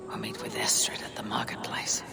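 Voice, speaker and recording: An actress playing a Norse warrior woman musing quietly to herself in a whispered video game monologue. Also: very high voice, wildly swooping pitch, fairly quick, full character voice. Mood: pondering. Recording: whisper under loud music.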